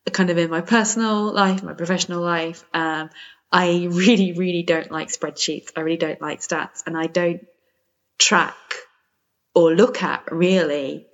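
A woman's voice: on the low side, steady tone, unhurried. A woman leaving casual, wry feedback for a podcast as a listener. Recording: dull lo-fi microphone sound.

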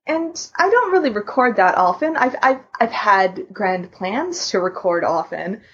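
A woman with a medium-pitched voice chats informally as one of a podcast panel. The recording sounds a little dull and lo-fi. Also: sing-song, measured pace, a bit bright, slightly rough. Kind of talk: casual chat.

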